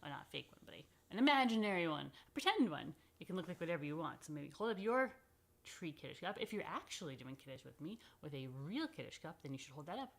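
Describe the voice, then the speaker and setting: A woman's voice: deep voice, very animated, fast. A woman rabbi hosting a children's story time, speaking informally and playfully straight to the children in a chatty way.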